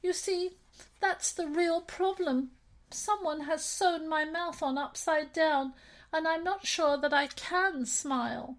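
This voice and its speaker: A woman voicing a weepy, lonely female teddy bear who wails a drawn-out singsong lament. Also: high voice, pitched above the performer's own voice.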